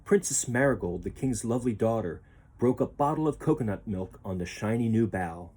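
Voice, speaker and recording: A man reading a children's picture book aloud as a storyteller, with expressive, deliberate phrasing. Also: fairly low pitch, husky.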